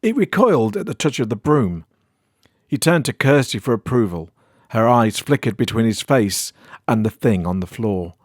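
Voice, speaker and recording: A British man narrates a weird short story. His voice sits at a medium pitch and follows a written script. The recording is dry, with no room around it. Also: lively intonation, normal speed, no echo.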